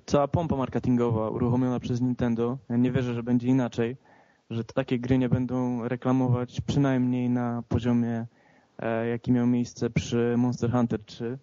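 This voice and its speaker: A man explaining things haltingly in a casual video game discussion. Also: medium pitch, completely flat delivery, dark tone, no echo. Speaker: podcast panelist. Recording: dull, dry lo-fi recording.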